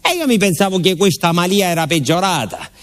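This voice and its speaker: A man's voice: high-pitched, animated, brisk. An actor playing a garrulous village postman who recounts his youth in a southern Italian accent, with folksy asides, as a theatrical radio monologue. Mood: wondering, wry. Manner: colloquial, folksy asides and repeated interjections.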